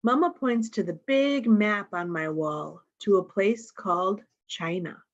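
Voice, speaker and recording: A woman reading a picture book aloud for young children, adding gentle teacherly asides and little questions to her listeners. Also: medium pitch, unhurried, lo-fi sound. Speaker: storytime reader and host.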